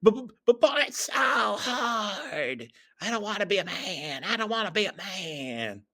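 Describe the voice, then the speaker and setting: A man's voice: high voice, big pitch swings, a little slow, sharp tone, voice pushed up, full character voice. A man voicing a childish, sulky crybaby son who whines and protests in a comic story. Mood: whining.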